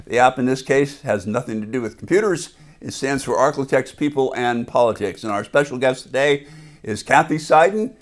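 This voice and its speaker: A man's voice: medium pitch, moderate pace. Man hosting a political podcast. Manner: relaxed, conversational questions. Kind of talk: interview host.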